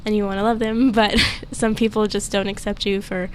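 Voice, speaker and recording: Young woman talking openly with soft laughs. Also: medium pitch, flat delivery, fairly quick, low-quality recording. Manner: open, laughs often while talking. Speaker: student panelist. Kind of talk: casual panel discussion. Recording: faint background noise.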